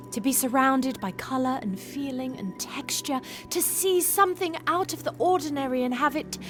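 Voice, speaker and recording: A woman acting a free-spirited, dreamy young sailor in a Regency-era audio drama, poetic and full of wonder. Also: high-pitched. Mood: tender, earnest. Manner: wonder-filled, poetic, effusive. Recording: music bed behind the voice.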